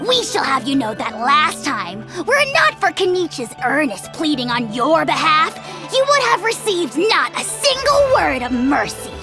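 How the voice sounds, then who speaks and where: A woman's voice: high voice, sing-song, moderate pace, hardly any pauses, music in the background. A woman voicing a tiny, haughty non-human creature who calls itself a dragon lord, speaking grandly in the royal we and mocking others, in a video game. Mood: indignant. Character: tiny pompous self-crowned dragon lord, haughty and theatrical.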